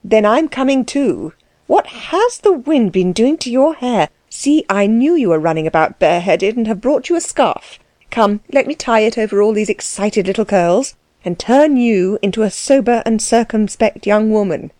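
A woman voicing a motherly, worldly-wise princess in an audiobook, fond, brisk and fussing. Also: a little high, animated, a little mellow, no room sound, lightly acted.

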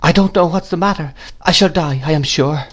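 A man's voice: mid-range voice, expressive, a little fast, pitched above the performer's own voice. A man voicing a meek, fragile, anxious young mother in an audiobook, timid and faltering. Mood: trembling, helpless. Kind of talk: theatrical audiobook character voice.

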